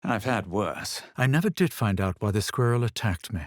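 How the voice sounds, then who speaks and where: Man acting a deep, haughty fantasy adventurer. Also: expressive, no echo, lightly acted. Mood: dry, superior. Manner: measured, superior, dry. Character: haughty young male fantasy adventurer, self-assured, brooding. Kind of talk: video game voice acting, dramatic narration and remarks.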